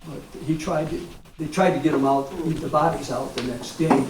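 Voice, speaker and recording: An American man in his seventies, a war veteran reminiscing informally in a halting, rambling way with frequent pauses. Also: a little high, fairly even intonation. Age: 70s. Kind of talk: informal reminiscence at a public talk. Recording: echoey, distant mic, clear background noise.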